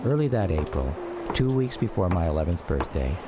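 A man narrating a radio drama as a reflective grown son recounting family history, measured and grave. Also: sits low, sing-song, heavy background noise.

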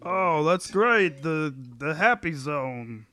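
A man's voice: a little high, animated, slow pace, bright, forceful tone, full character voice. A man playing an insecure, whiny sitcom husband in an amateur table read, stammering and defensive. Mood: sullen.

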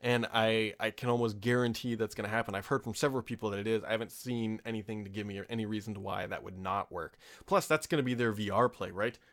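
A man's voice: on the low side, steady tone, fast talker, hardly any pauses, a bit bright. A man in his thirties hosting a tech podcast from his home office, chatting casually and with strong opinions, full of ums and asides. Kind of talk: casual solo podcast chat.

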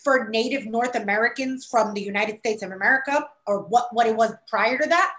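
A woman with a New York accent that leans Puerto Rican chats in an animated, storytelling way, slipping into quoted voices. Her voice sits a little high. The room around her rings with echo. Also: brisk. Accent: New York Puerto Rican-influenced. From New York City. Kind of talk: casual conversation. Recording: echoey room.

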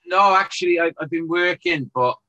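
A man's voice: fairly high pitch, normal speed, sharp tone, dull audio. An Irish man, a former footballer, chatting casually and joking as a guest on a phone-in show. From Ireland. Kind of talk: casual chat.

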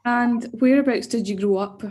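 A woman's voice: a little high, normal speed, noisy background. A woman asking questions as the interviewer in an informal oral history interview over a video call.

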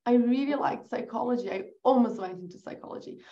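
A woman from Brazil with a Brazilian accent, a guest telling her story in a relaxed podcast interview, laughing easily and restarting her sentences. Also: a little high, fast talker, lo-fi sound. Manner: chatty, laughs often, restarts. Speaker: guest, agency founder.

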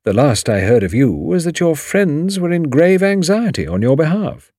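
A man voicing a shrewd, grave older English lawyer in an audiobook, measured, precise and formal. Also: a little high, very animated, warm tone, no echo, clean studio sound, pitched above the performer's own voice. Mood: cool, formal. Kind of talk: audiobook character dialogue.

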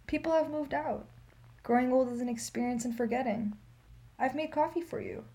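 A woman playing an elderly, wry and resolute aid worker in a radio play, speaking in measured aphorisms. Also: high-pitched, dark tone. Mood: welcoming, scattered. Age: older.

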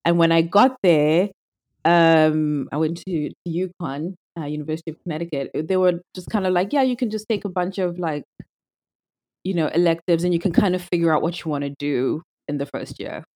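A woman's voice: low-pitched, a little fast, a little mellow. A young woman from Zimbabwe, a podcast guest speaking thoughtfully and casually, with frequent restarts. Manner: thoughtful, with many restarts and fillers. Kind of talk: casual conversational interview.

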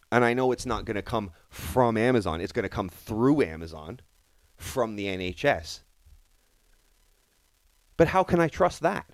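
A man hosts a current-affairs podcast in a low, deep voice. He sounds indignant and emphatic, repeating phrases to drive his point home. The sound is dry and close, with no room echo. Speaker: podcast host. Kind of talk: opinionated current-affairs commentary.

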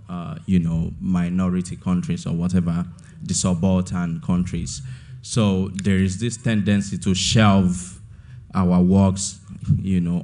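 A man's voice: low voice, darkish tone. A young man in his twenties from Nigeria, an author answering questions in an onstage interview, thoughtful and often repeating words as he finds his point. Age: 20s.

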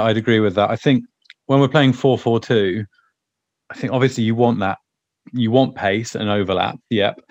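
A man co-hosting a casual football podcast with a hoarse, croaky voice, rambling and restarting his sentences. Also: on the low side, no room sound, lo-fi sound. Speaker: podcast co-host.

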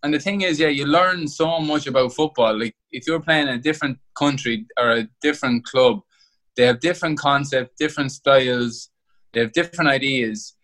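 A young man with an Irish accent talks at an easy, rambling pace about his life playing football abroad. He often restarts mid-phrase. His voice sits at an ordinary pitch for a man. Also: normal speed, a bit bright, poor audio. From Ireland. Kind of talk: interview over a call.

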